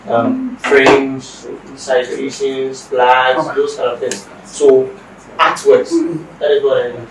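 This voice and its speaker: A man's voice: medium pitch, flat delivery, slow. A young man, a recent graduate who runs his own business, answering confidently and matter-of-factly. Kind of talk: casual interview answers. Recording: roomy echo, distant mic, faint noise.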